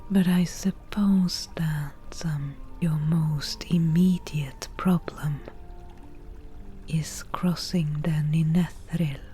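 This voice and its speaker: A woman playing a wry forest witch who bargains over potions, in a soft breathy whisper with a slow, dryly teasing manner, as ASMR fantasy roleplay. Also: sits low, fairly even intonation, soft background music. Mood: calm, wry.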